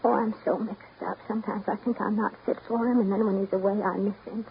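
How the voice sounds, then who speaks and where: A woman's voice: medium pitch, steady tone, quick pace, lightly acted. An actress in a 1930s radio drama playing an anxious young woman, intimate and imploring as she pleads. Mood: torn. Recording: muffled, noisy 1930s radio broadcast recording.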